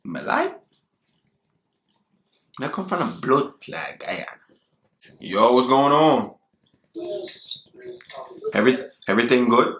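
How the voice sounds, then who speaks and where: A man's voice: medium pitch, sing-song, slow pace, pauses often, a bit bright, slight background noise. Guy faking a jamaican accent, slangy and flirty, echoey room on a phone. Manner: teasing, flirty, slangy patois put-on. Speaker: prank video host. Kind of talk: putting on a Jamaican accent on a chat app.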